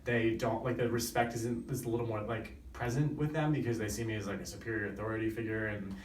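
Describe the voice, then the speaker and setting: A man's voice: lowish voice, steady tone, quick pace, a little hiss or hum. Young man, student, casual rambling answer, filler words, echoey room, distant mic. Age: young adult. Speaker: student interviewee.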